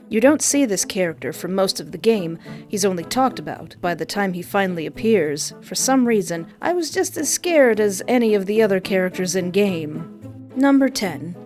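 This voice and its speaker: Young goofy gamer girl doing a countdown, excitable, talks over herself, faint music behind. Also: mid-range voice. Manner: excitable, goofy, self-interrupting. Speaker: youtube host. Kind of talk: game commentary countdown.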